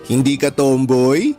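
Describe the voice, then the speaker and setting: A man's voice: medium pitch, warm tone, exaggerated voice. An actor playing a persistent young man courting a tomboyish woman in a radio drama, teasing her with chuckles. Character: persistent young male suitor, teasing but sincere.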